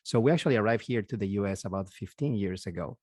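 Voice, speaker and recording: A man from Peru with a Peruvian Spanish accent, in his forties, chatting as an interview guest, halting and repeating words, laughing often. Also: fairly low pitch, a little mellow, husky, no room sound, clean studio sound. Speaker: guest, physician and investor. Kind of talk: casual interview chat.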